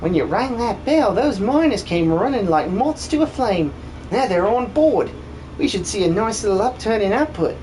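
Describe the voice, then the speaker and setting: A man voicing a brash, bossy but warm-hearted young woman miner in a video game fan dub, blunt and slangy. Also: high voice, animated, normal speed, slight background noise, low-quality recording. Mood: businesslike.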